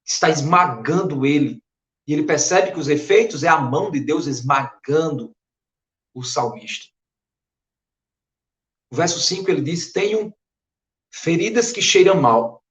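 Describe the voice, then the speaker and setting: Hoarse Brazilian Northeastern pastor, echoey room. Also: male voice, fairly high pitch. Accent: Brazilian Portuguese, Northeast. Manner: earnest, emphatic preaching with rising stresses. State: hoarse, recovering from flu. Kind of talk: expository Bible sermon.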